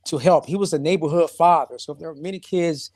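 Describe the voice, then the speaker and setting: A man from St. Louis calling in with a question, reflective and halting as he talks. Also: on the high side, a little mellow. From St. Louis, USA. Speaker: caller. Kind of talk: call-in question.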